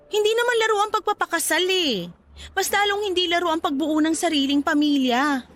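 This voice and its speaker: A woman's voice: high-pitched, a bit bright. An actress playing an anxious, ambitious young woman pleading earnestly with her boyfriend in a radio drama. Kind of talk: Tagalog radio drama acting.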